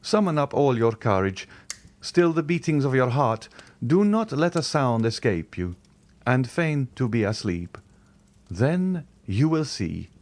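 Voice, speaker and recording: A man voicing a grave, protective middle-aged nobleman who gives solemn, measured warnings. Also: mid-range voice, very animated, darkish tone, voice pushed up. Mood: urgent.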